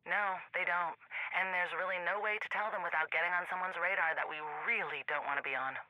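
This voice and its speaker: An actress playing a weary, sardonic daughter of an arms contractor, speaking dryly over a comm filter in a video game. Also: female voice, lowish voice, brisk, sharp tone, no echo, low-quality recording. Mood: matter-of-fact, wary. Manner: dry, sardonic. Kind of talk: naturalistic video game dialogue.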